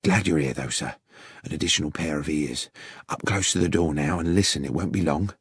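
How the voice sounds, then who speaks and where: Guy doing a sneaky victorian cop for an audiobook, breathy near-whisper, bossy and wry, dry sound. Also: extremely low pitch, a little fast, no room sound, pitched below the performer's own voice, heavily acted. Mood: furtive, wry. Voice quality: hushed, often whispering. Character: brisk, furtive, wry policeman of Victorian London. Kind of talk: audiobook character acting.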